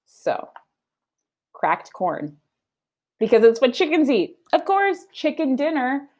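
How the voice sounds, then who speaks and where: A woman's voice: sits high, big pitch swings, slow. A woman in her thirties, a teacher, reading a storybook aloud to children at home and explaining reading skills in a teacherly way. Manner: warm teacherly, explaining and questioning. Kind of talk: children's read-aloud lesson. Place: home room.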